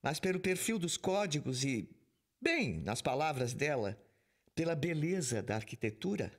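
Stern commander, higher voice, lively intonation, firm, audio drama. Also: male voice, measured pace, heavily acted. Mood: grave. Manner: firm, authoritative. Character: stern, authoritative space squad commander.

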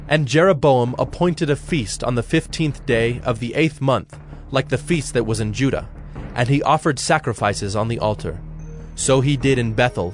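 A man narrating a dramatized Bible in a measured, solemn storytelling style. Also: medium pitch.

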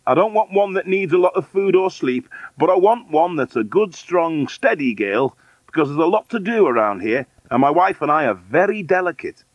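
A man's voice: a little high, very animated, moderate pace, no room sound, highs cut off, voice pushed up. A man voicing a lazy, scheming adoptive father in a children's storybook, wheedling and mock-polite. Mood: demanding, scheming. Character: sly, lazy, falsely sweet adoptive father.

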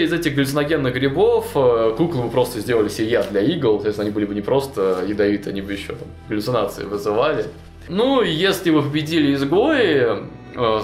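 Young man telling a story, roomy room. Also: mid-range voice. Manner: animated, many fillers like "вот" and "ну". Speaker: storyteller guest. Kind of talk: casual storytelling chat. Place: studio with chalkboard wall. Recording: roomy studio with faint music.

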